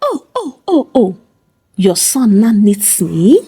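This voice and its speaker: A woman's voice: mid-range voice, very animated, takes it slowly, dark tone, breathy edge, full character voice. A woman voicing a proud, sassy young seamstress in a folk tale, blunt and mocking. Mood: mocking, defiant.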